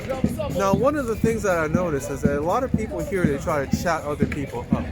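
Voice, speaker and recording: A man's voice: sits high, expressive, fast, loud music behind the voice. A man asking informal, slangy questions as a casual street interviewer. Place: outdoors in a city street.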